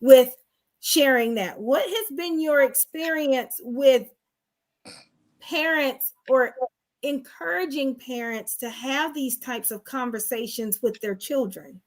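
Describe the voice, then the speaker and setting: A woman's voice: high voice, slow, low-quality recording. A woman co-hosting a live online talk show, explaining as a sex therapist in an earnest, teaching manner and asking her guest questions.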